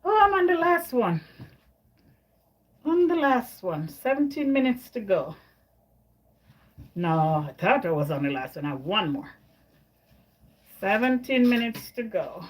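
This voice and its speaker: A woman's voice: a little high, sing-song, a little slow, pauses often. A woman from Jamaica hosting a casual livestream, chatting with viewers, answering their comments and often repeating her words. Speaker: livestream host.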